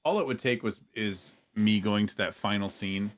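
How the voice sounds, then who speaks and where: Low-voiced man chatting casually. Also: moderate pace. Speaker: podcast guest and game streamer. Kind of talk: casual chat.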